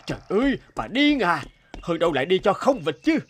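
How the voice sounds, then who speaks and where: An actor playing a burly, calculating duck seller in a cartoon folktale, brusque and exclamatory. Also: male voice, high-pitched, big pitch swings, brisk. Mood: scornful. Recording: music behind the voice.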